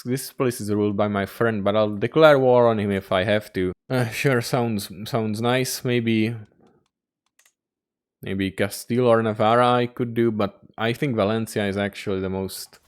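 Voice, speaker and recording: A man narrating his strategy game playthrough, his smooth, resonant voice cutting in and out of exasperated outbursts. He swears freely as he plays, keeping up a running commentary on every setback. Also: on the low side, fairly even intonation, a little slow. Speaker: gaming YouTuber.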